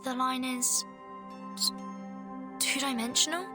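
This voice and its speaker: A composed young female leader in a fantasy game. Her voice sits fairly high and moves slowly, serious and pensive, with clear music playing behind it. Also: fairly even intonation. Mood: searching, puzzled. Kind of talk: video game voice acting.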